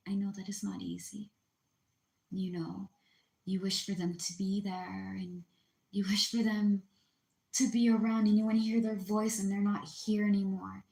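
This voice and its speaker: A woman gently comforting viewers in a livestream reading, speaking softly and unhurried, often close to a whisper. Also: fairly low pitch, reverberant. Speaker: spiritual reader on a livestream.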